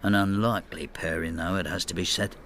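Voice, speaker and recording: An actor in a radio drama playing a plain-spoken working man in his sixties, telling his story in a measured, understated way. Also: sits low, steady tone, noisy background, close to an everyday voice. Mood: brooding, wry. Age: 60s. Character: retired working-class widower, plain-spoken, quietly bitter husband. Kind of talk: radio drama narration and dialogue.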